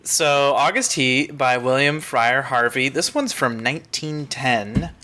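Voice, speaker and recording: A man's voice: medium pitch, measured pace, sharp tone. A man hosting a podcast, talking casually and reading aloud. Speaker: podcast host. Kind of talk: casual chat and reading a short story aloud.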